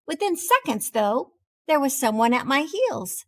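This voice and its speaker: Casting: female reader as a proud talking cheese narrating a storybook, high-pitched, theatrical, unhurried. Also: heavily acted. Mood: dramatic recounting. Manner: theatrical, expressive, deliberate delivery. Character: proud, dramatic cheddar wheel learning humility, storybook narrator. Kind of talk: animated children's storybook read-aloud.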